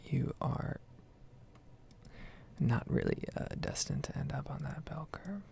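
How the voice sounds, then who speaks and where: Female ASMR gamer, breathy whisper with very heavy fry, casual and rambling, close-miked. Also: a bass voice, slow pace, dark tone. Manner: casual, rambling, mild swearing. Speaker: ASMR gaming streamer. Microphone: close microphone.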